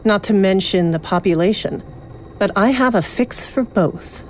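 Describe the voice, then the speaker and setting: A woman playing a scheming, coolly ruthless otherworldly leader in an audio drama, speaking in a measured, formal, persuasive way. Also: mid-range voice, a little hiss or hum, lightly acted, poor audio.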